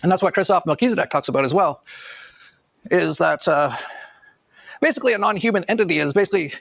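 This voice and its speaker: A man's voice: high-pitched, quick pace, gravelly, fairly bright tone, no echo. A man giving casual, rambling online commentary, often chuckling sardonically as he makes his points.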